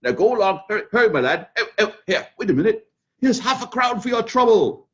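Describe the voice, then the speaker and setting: A high, swooping old miser's voice, cackling and breathless, bursting with joy, as a man acts him out in a radio-style reading. His pitch leaps all over the place. The dull, lo-fi sound strips away the crispness. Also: sing-song, brisk, fairly bright tone. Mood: jubilant. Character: elderly male miser, giddy with joy. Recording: dull lo-fi recording.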